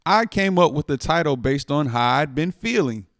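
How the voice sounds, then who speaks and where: A man reading a children's picture book aloud in an expressive way, stretching words for dramatic emphasis. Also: fairly high pitch, normal speed, no room sound, studio quality. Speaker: storytime reader.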